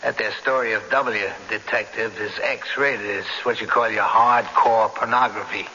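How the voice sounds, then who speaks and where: An actor playing a gruff, skeptical police sergeant in a radio drama, blunt, slangy and sardonic. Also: male voice, on the low side, fairly even intonation, measured pace, sharp tone. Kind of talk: 1970s radio drama acting. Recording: dull, noisy old radio broadcast, reverberant.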